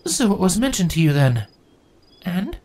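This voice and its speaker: A man's voice: high voice, very animated, moderate pace, pitched above the performer's own voice. Twilight, a man voicing a grieving young queen. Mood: weary. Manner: tired then bitter, breaks into angry shouts. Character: young grieving pony queen, weary, fiery.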